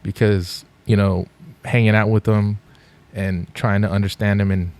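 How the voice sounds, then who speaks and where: A middle-aged man from Los Angeles, a podcast guest telling his story in a relaxed, rambling way with lots of fillers. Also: fairly low pitch, normal speed. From Los Angeles, USA. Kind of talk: casual podcast interview.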